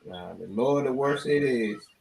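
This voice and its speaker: A man's voice: medium pitch, lively intonation, moderate pace, gravelly, a little mellow, poor audio. An American man co-hosting with laid-back, slangy, teasing banter. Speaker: show co-host. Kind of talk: casual banter.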